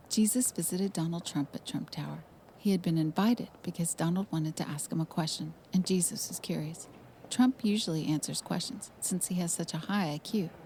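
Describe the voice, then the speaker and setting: A woman narrates a scripted story in storybook fashion. Her voice sits a little low and is mellow in tone, with faint noise behind it.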